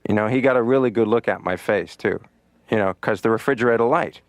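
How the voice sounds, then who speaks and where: A middle-aged man voicing a cartoon patient who tells stand-up jokes in a deadpan way, filling his lines with "you know.". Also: lowish voice, quick pace, no room sound, clean studio sound, close to an everyday voice. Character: comedian patient on the therapy couch.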